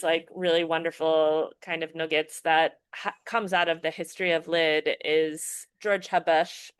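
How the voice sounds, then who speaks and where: Reflective woman with a low, dry voice. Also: fairly bright tone, smooth, no room sound. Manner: thoughtful, restarts often, many fillers like "you know". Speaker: filmmaker and educator guest. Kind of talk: casual podcast interview.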